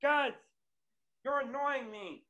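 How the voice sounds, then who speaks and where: A man's voice: extremely high pitch, slow, bright, forceful tone, lo-fi sound, exaggerated voice. A man reading a whiny, overwhelmed man. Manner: whiny, flustered. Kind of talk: amateur table read over video call. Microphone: video call.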